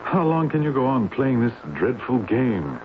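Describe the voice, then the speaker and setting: A man's voice: medium pitch, sing-song, normal speed, natural voice. An actor playing a concerned, questioning male friend in a radio drama. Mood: appalled. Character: worried, probing male friend of a married woman. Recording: narrowband old radio, faint noise.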